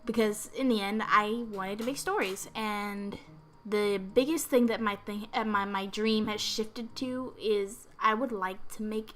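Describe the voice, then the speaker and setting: A young woman with a hoarse, raspy voice, still recovering from being sick, rambling unscripted in a vlog and laughing often. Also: medium pitch, steady tone, measured pace. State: hoarse after illness. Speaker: video creator. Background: loud music.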